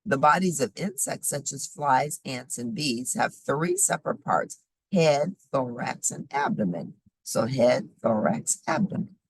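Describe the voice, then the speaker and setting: A woman reading a children's nonfiction book aloud in a deliberate, explanatory way, emphasizing key words. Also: extremely low pitch, very animated, husky, no echo, low-quality recording. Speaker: host reading children's science books aloud.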